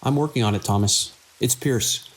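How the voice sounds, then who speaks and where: An actor playing a weary but measured hospital director in an audio drama, speaking with authority as he reasons things through. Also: mid-range voice, close to an everyday voice, poor audio. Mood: concerned. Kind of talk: radio drama acting.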